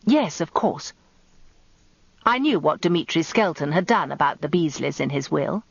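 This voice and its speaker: Observant wry lawyer's wife, English accent, confiding radio drama narration, dry close sound, slightly dull recording. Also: female voice, sits low, lively intonation, normal speed, vocal fry, slightly rough, no echo, heavily acted. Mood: wry, musing.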